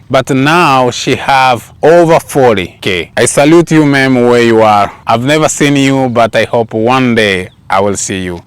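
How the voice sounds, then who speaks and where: Clear-voiced man, native Swahili speaker, vlogging casually. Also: on the low side, no echo. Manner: rambling, repeats phrases for emphasis. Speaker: travel vlogger. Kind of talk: casual vlog talk.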